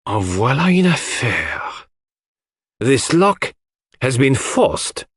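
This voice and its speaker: Audiobook role: courteous foreign male detective acted by a man, formal, grave and emphatic, lifted pitch. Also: very animated, takes it slowly, fairly bright tone, husky, no echo, studio quality, full character voice. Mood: astonished. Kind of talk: audiobook character voice.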